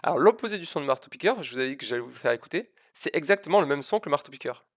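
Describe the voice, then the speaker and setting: Gravelly-voiced man teaching physics live. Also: on the high side, expressive, very fast, no room sound, studio quality. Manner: hesitant, self-deprecating, repeats and stresses key words. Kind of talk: informal live lesson, explaining a demonstration.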